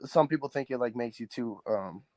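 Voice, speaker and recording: A teenage quarterback from Southern California, a native Spanish speaker, answering interview questions earnestly with many ums and restarts. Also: male voice, medium pitch, fairly quick, a little mellow, no echo. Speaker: high school quarterback guest.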